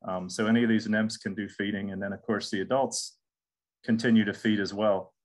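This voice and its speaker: A man's voice: lowish voice, steady tone, a little fast. Casual man lecturing on pests. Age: middle-aged. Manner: casual, repeats words and restarts with many ums. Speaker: extension entomologist. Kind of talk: recorded webinar lecture with slides.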